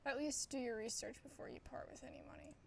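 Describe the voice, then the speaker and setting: A woman doing a casual game playthrough, reading dialogue aloud and reacting in soft, hesitant asides. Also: fairly high pitch, fast talker, creaky. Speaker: gamer playing along.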